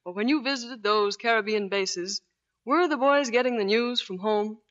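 A woman's voice: on the high side, moderate pace. A woman Navy officer speaking as a guest in a scripted 1940s radio interview. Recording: dull lo-fi 1940s radio recording.

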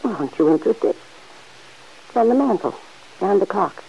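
A woman playing a kindly middle-aged farm aunt who gently chides the man in her home, in 1940s radio acting. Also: medium pitch, expressive, gravelly, slight background noise. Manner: gently chiding, motherly, quick to laugh. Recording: dull old radio recording.

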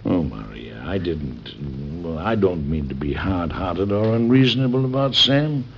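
A man's voice: low voice, expressive, measured pace, a little hiss or hum. An actor with an American accent playing a stern, protective, middle-aged President and father in old-time radio drama, formal and emphatic. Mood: tender, regretful. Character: stern, protective President and father, hot-tempered but loving. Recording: muffled old radio recording.

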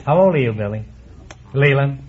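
A middle-aged man hosting a quiz show, teasing his guests with quick puns and asides before a live audience. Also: medium pitch, expressive. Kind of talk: wisecracking quiz show banter. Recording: dull old radio broadcast, audience behind.

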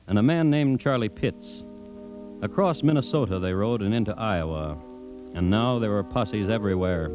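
A man's voice: medium pitch, darkish tone, close to an everyday voice. An American man performing as a grave Old West storyteller, narrating a radio drama in solemn, rhythmic phrases. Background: dramatic music score.

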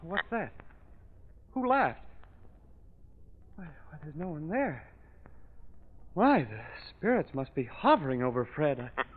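Timid swooping seance devotee. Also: male voice, on the high side, big pitch swings, takes it slowly, pauses often. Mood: awestruck. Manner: hesitant, meek, repeating himself. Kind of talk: old-time radio drama acting. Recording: thin, phone-like vintage radio.

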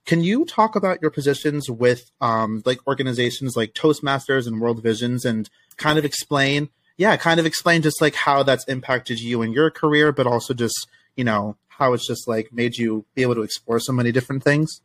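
A man's voice: mid-range voice, moderate pace, hardly any pauses, a bit bright, no echo. A man hosting a podcast interview, friendly and chatty, laughing along with his guest. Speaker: podcast host. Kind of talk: casual interview chat.

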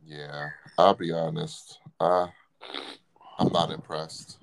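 A man's voice: extremely low pitch, level tone, slow pace, vocal fry, low-quality recording. A man in a casual chat, playfully quizzing and needling the other speaker.

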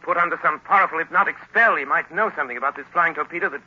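An actor playing an earnest, persistent young amateur sleuth, urgent yet polite, in 1930s radio style. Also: male voice, on the high side, rapid-fire, close to an everyday voice. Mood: urgent, persuasive. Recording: noisy, dull 1930s radio recording.

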